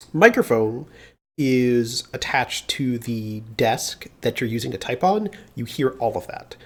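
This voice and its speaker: A slightly congested man chatting casually on a live coding stream, thinking aloud with plenty of filler pauses, into a mounted mic at a home desk. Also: medium pitch. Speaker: livestreamer doing security research. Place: home desk with mechanical keyboard. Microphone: mounted desk studio mic.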